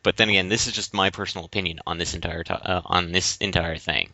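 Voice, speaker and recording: A man's voice: sits low, steady tone, fast talker, creaky, sharp tone, no room sound. A man co-hosting a podcast, explaining his views casually in a hesitant, roundabout way. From United States. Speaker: podcast co-host. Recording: dull lo-fi audio.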